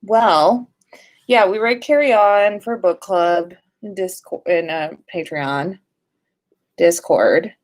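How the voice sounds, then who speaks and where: A woman's voice: fairly low pitch, slow. A woman hosting a casual livestream in a playful, informal way, sometimes sing-song and casually sweary. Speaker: livestream host.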